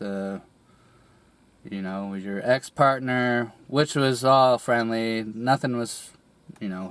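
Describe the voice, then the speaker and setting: A young man getting over a cold, his voice a little raspy, telling a personal story slowly with many pauses, fillers and throat clearing. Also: on the low side, dry recording. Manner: hesitant, rambling, many fillers and restarts, clears throat often. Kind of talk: candid personal monologue.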